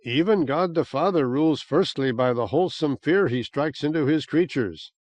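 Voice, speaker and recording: A man's voice: fairly high pitch, animated, moderate pace, darkish tone, dry recording, lightly acted. A man voicing a stern male priest in an audiobook, speaking solemnly.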